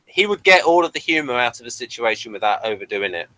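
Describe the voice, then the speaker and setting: A man's voice: mid-range voice, sing-song, quick pace, bright, forceful tone, no echo, rough recording. A man hosting a casual podcast review, thinking aloud in a hesitant way. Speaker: podcast host. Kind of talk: casual chat reviewing a TV episode.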